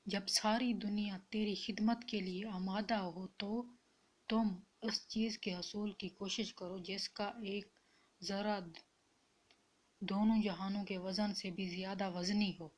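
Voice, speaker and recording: A woman reading spiritual quotes as scripted narration, in a measured and deliberate manner. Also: medium pitch, steady tone.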